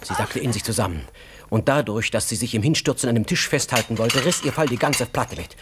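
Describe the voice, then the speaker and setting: A man's voice: mid-range voice, brisk, background noise. A man giving an intense, emphatic literary narration in a radio drama. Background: prominent music.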